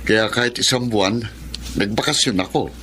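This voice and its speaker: Caring practical grown son, kinda low voice, pinoy radio drama, bit of noise. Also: male voice, normal speed, bright, forceful tone. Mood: affectionate. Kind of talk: Filipino radio drama acting. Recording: faint background noise.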